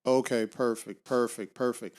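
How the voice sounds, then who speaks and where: A man's voice: mid-range voice, steady tone, normal speed, darkish tone, poor audio. A man hosting a casual podcast interview over a video call, talking with lots of ums and restarts. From Miami. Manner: hesitant, many fillers and restarts. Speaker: podcast host. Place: home garage.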